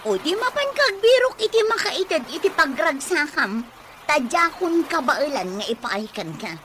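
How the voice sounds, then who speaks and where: Fed-up, high-pitched Ilocano wife. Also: female voice, big pitch swings, normal speed, fairly bright tone. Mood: angry, fed up. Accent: Filipino, Ilocano. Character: fed-up, nagging wife and mother, sharp-tongued. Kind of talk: Ilocano radio drama acting. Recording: noisy radio drama recording.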